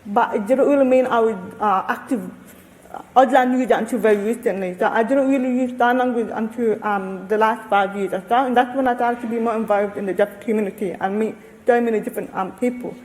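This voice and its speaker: Woman with a halting voice in a reverberant hall. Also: a little high, darkish tone. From Australia. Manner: thoughtful, halting, restarts often. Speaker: researcher and panel guest. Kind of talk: panel discussion answers. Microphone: handheld microphone.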